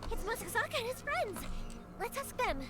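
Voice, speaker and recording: A woman playing a cheerful, energetic young woman, bold and kind, in an anime video game. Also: extremely high pitch, normal speed. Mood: determined. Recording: game music behind the voice.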